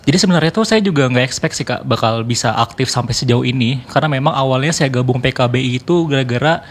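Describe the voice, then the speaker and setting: A man's voice: medium pitch, quick pace. A man, giving explanatory, point-by-point answers as a radio interview guest. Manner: explanatory, orderly, enumerating points. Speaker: youth organization board member, radio guest.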